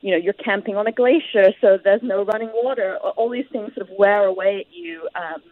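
A woman's voice: medium pitch, fairly quick, no echo, phone-quality sound. A woman giving detailed, reflective answers as a guest author in a conversational interview.

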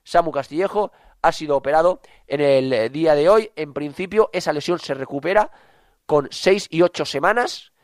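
Chatty congested sports radio host. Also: male voice, fairly high pitch, moderate pace, no echo, clean studio sound. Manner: chatty, repeats himself for emphasis. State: congested with a cough. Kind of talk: sports radio talk show.

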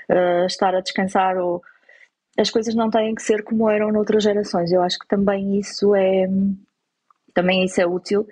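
A woman's voice: medium pitch, dark tone, slightly rough, low-quality recording. A woman with a European Portuguese accent giving conversational interview answers, explaining and rephrasing as she goes. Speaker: interviewed parent.

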